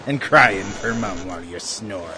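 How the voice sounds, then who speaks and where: An actor playing a gruff, swaggering pirate bosun in a comedy ad skit, talking in theatrical old-sea pirate speech. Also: male voice, lowish voice, measured pace, pushed and bright, faint music behind, rough recording. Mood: hearty.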